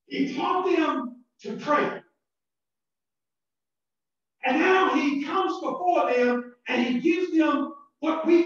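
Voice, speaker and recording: A Southern American pastor preaches slowly, climbing into shouted emphasis and doubling his words as he builds. His voice sits high for a man. The recording is distant and muffled, and it is swamped by heavy church echo. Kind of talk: sermon. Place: church sanctuary.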